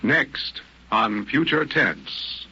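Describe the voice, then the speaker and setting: A man announcing on the radio in a formal, deliberate, scripted broadcast style. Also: fairly low pitch, sing-song. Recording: muffled, faintly hissy radio recording.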